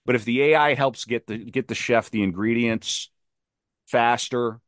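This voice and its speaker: A man's voice: medium pitch, steady tone, moderate pace, fairly bright tone, no echo, studio quality. A man chatting casually on a podcast, emphatic and given to repeating his phrases for effect.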